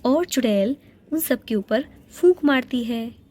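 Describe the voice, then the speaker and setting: A woman's voice: a little high, slow pace, very noisy. Woman narrating a doll story. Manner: plain, steady storytelling.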